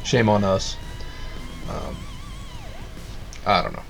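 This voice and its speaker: A man's voice: fairly low pitch, wildly swooping pitch, takes it slowly, creaky, a bit bright, soft background music. A man giving a casual, sarcastic rant of a review, rambling with lots of restarts. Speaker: video reviewer.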